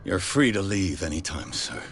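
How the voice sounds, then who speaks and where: A man's voice: low voice, sing-song, moderate pace, vocal fry, faint music behind, exaggerated voice. A man voicing a weary, hard-drinking private detective in a video game, with curt and cynical replies. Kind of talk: game voice acting.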